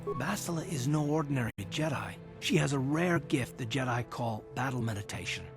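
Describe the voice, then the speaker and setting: A man's voice: medium pitch, moderate pace, over music, natural voice. An actor playing a resolute, weary male Republic soldier, speaking earnestly in a dramatic video game style, explaining with some urgency. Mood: earnest, determined.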